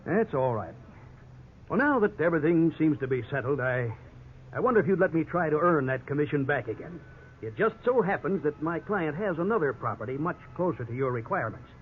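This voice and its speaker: A man's voice: a little high, expressive, brisk, natural voice. A man with an American accent playing a defensive, conciliatory real estate agent in a 1950s radio drama, formal and self-justifying. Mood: hopeful, ingratiating. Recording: tinny, noisy 1950s radio recording.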